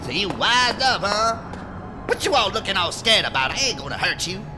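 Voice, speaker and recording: A brash street dweller in an audio drama. The voice is extremely high for a man, sassy and scolding, full of slang. Music is clearly heard behind it. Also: big pitch swings, moderate pace. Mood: taunting, coaxing. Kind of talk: science fiction audio drama acting.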